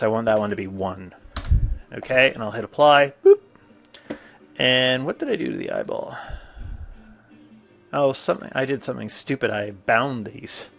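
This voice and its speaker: A man with a stuffy cold, teaching a software tutorial in a casual, thinking-aloud way with frequent fillers. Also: medium pitch, creaky. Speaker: 3D animation instructor. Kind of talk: screen-recorded software tutorial.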